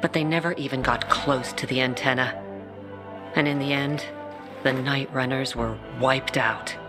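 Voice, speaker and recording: An actor playing a tough peacekeeper commander who speaks in a gruff, curt way. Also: mid-range voice, fairly even intonation, a little slow, over music, close to an everyday voice.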